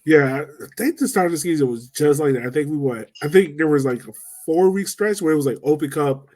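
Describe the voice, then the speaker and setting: A man hosting a sports podcast, relaxed and casual, asking his co-hosts questions. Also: fairly high pitch, a little fast. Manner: relaxed, asks questions to steer the talk. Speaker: podcast host.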